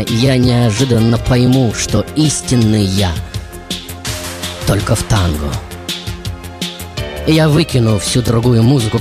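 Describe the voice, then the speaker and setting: A man playing a passionate, brooding composer who tells his life in a dramatic, poetic radio monologue with deliberate pauses. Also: mid-range voice, natural voice. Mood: brooding, solemn. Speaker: radio show host. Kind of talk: theatrical radio monologue over music. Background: music.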